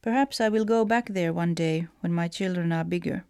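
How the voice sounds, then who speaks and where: Lowish Finnish-accented woman reading letters. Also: moderate pace, a little mellow, clean tone, no room sound, studio quality. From Finland. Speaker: writer and letter writer. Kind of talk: reading personal letters aloud.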